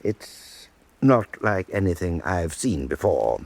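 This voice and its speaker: A deep-voiced, evasive statesman. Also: very animated, a little mellow, no room sound, heavily acted. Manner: measured, smooth and stalling. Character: powerful, evasive man of state showing a painting. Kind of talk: radio drama acting.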